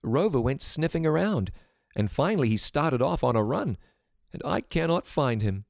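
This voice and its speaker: A man voicing an earnest, excitable young girl from the country in a storybook reading. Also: mid-range voice, moderate pace, dry recording, highs cut off, voice pushed up. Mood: frightened.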